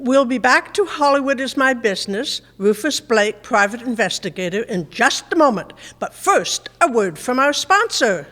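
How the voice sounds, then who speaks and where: A woman's voice: a little high, lively intonation, normal speed, hardly any pauses, fairly bright tone. A woman playing a perky announcer in a parody 1940s radio commercial, with sing-song, emphatic sales patter. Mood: announcing. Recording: reverberant hall.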